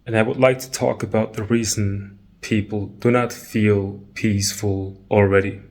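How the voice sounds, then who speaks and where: A man leading a guided meditation talk, calm and measured, pausing between short phrases. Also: fairly low pitch.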